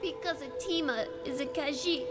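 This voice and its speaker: A woman plays a sweet, naive little cat-folk girl from a fantasy video game. The voice is high and childlike, with simple third-person phrasing. Loud music plays behind it. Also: moderate pace, lightly acted. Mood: hurt, resentful.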